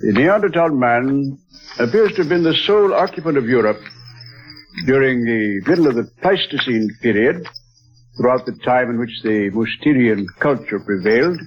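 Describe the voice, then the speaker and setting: A man voicing a scholarly doctor, lecturing, measured, old radio drama, muffled lo-fi broadcast. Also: mid-range voice, animated, faint music behind, natural voice. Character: learned older male doctor, scholarly and sure. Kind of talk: 1940s radio acting.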